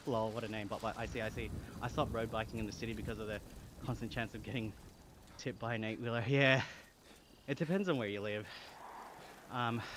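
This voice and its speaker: A man's voice: mid-range voice, background noise. A man with an Australian accent chatting casually as a live streamer, slangy, reading chat aloud and thanking viewers. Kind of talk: casual livestream chat over gaming.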